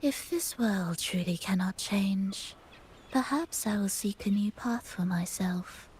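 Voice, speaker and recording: An actress voices a cool, stubborn noblewoman from a video game. Her medium-pitched delivery is restrained and formal, tinged with melancholy. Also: slow pace, a little mellow, a little hiss or hum, natural voice. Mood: quiet, resigned. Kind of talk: video game cutscene acting.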